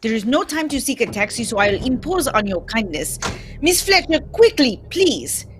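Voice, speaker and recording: A woman's voice: fairly high pitch, very animated, normal speed, a little hiss or hum. A young woman playing a fussy, self-assured Belgian male detective in a radio mystery reading. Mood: urgent. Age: young adult. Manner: theatrical, courteous, precise. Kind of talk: live reading of old-time radio mystery script.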